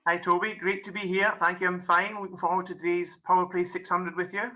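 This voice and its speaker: A man's voice: high-pitched, little pitch movement, a little fast. A middle-aged man with a Scottish accent, a golf and business coach, giving a friendly explanatory webinar talk with many "kind of"s and "um"s. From Scotland. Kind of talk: webinar presentation. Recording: muffled lo-fi webinar audio.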